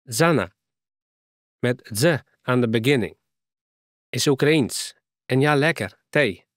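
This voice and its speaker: A man's voice: mid-range voice, lively intonation, slow, a bit bright, no room sound, clean studio sound. A man voicing a friendly young Ukrainian woman in a storybook reading, speaking with a Ukrainian accent and searching hesitantly for words. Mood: eager, halting.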